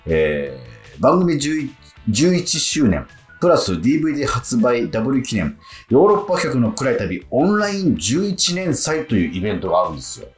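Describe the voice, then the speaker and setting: A man hosting a casual radio talk show, speaking in an animated, halting way with playful incredulity. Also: mid-range voice, roomy sound, faint music behind. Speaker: radio show host and actor.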